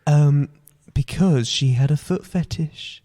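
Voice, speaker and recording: A man playing a flippant, reluctant Scrooge in a comic pantomime, with hesitant, deadpan replies. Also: on the high side, takes it slowly, in a large hall. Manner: deadpan, hesitant, cheeky asides. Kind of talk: semi-improvised comic pantomime.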